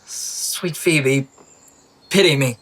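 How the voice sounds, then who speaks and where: A humble, lovesick young shepherd played by a woman with a low voice. The delivery is pleading and devoted, in Shakespearean radio-play style. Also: drawn-out words, husky, heavily acted.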